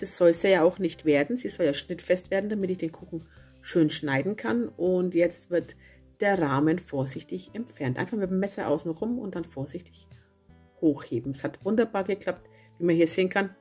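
A woman with a cold and a hoarse voice, chatting casually as she narrates a home baking video and correcting herself as she goes. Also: fairly low pitch. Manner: chatty, self-correcting, homely. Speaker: cooking channel host. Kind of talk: casual recipe voice-over. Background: soft guitar music.

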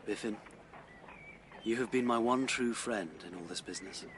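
An actor playing a bitter, struggling writer and husband in a period radio drama, speaking formally and stiffly with self-pity. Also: male voice, fairly low pitch, normal speed, noisy background, lightly acted. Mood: weary, wounded.

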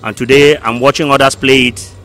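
A Nigerian man in his thirties answering an interview deliberately, in short phrases, into a handheld mic. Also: mid-range voice, flat delivery, a bit bright, faint music behind. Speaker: audience member being interviewed. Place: indoor hallway by hall doors. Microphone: handheld microphone held close.

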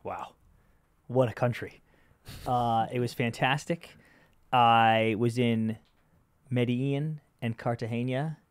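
A man's voice: mid-range voice, fairly even intonation, slow pace, long pauses, clear, resonant tone, no echo. Casting note: young male co-host, easygoing joker, mid-range voice, dry close studio mic. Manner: loose, joking, rambling storyteller. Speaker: podcast co-host. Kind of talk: casual podcast chat. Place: studio with wood walls. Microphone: boom-arm podcast mic.

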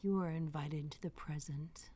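A woman leading a guided meditation in a soothing, measured way, with spacious phrasing. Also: low-pitched, steady tone, dark tone, husky. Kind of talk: guided meditation over a sound bath. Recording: noisy recording with ringing chimes. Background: sound bath chimes and ambient wash.

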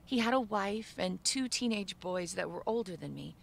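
Gravelly woman narrating a story. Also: mid-range voice, lively intonation, normal speed. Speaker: narrator of an animated story video. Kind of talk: scripted first-person story narration.

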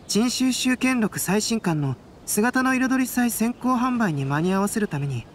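An actor playing a calm, unflappable young male artist who explains things in a composed, reasoned way in a game cutscene. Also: high voice, slight background noise. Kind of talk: Japanese game cutscene acting.

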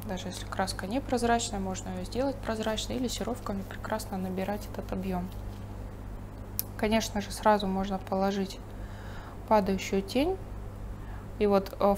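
A woman artist teaching, thinking aloud calmly as she paints a still life at her table. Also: mid-range voice, a little hiss or hum. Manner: thinking aloud while painting, calm and explanatory. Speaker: painting instructor. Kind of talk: painting demonstration with commentary. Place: studio table, overhead camera on the painting.

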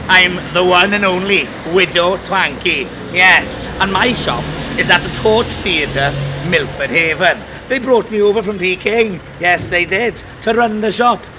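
High-pitched, flamboyant pantomime dame. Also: male voice, sharp tone, slight background noise. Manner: theatrical, gossipy, overemotional. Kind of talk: pantomime comedy acting. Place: outdoors on a street outside a shop. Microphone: handheld interview microphone. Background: music.

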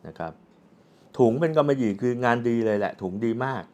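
A man's voice: mid-range voice, very animated, brisk, darkish tone. A man gives a casual, unscripted product demonstration, explaining step by step as a reviewer. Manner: explanatory, with frequent polite particles and spoken handling sounds. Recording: clearly audible background ambient noise.

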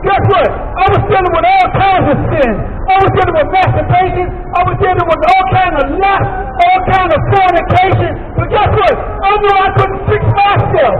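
A man's voice: extremely high pitch, fairly even intonation, normal speed, few pauses. A man with an American accent preaching an impassioned, emphatic street sermon, repeating his phrases over and over. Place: outdoors on a busy city plaza by a street. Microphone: handheld microphone through a portable speaker, heard from a distant camera. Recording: distant, dull outdoor recording with street and crowd noise.

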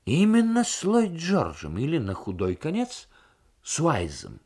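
Man acting out witty male diplomat, radio novel, probing, ironic, animated melody. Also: fairly high pitch, slightly rough, voice pushed up, exaggerated voice. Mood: provocative. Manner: argumentative, ironic, deliberate.

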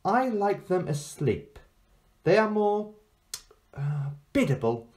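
A man's voice: high-pitched, big pitch swings, takes it slowly, darkish tone, pitched above the performer's own voice, exaggerated voice. A man reading a storybook, voicing an ancient enchantress who looks like a young girl, imperious and falsely innocent, drawing out her words. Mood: coaxing.

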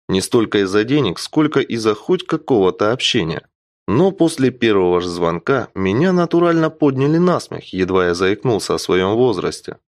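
A man's voice: mid-range voice, no echo, clean studio sound. A man narrating an audiobook in the first person with a wry, theatrical storytelling delivery.

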